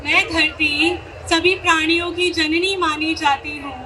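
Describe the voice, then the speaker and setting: A woman's voice: extremely high pitch, fairly even intonation, a little slow, sharp tone, a faint crowd, slight background noise. A woman performing dramatic slam poetry, declaiming and repeating lines for emphasis. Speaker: poet performer. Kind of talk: dramatic slam poetry recitation as Mother Earth.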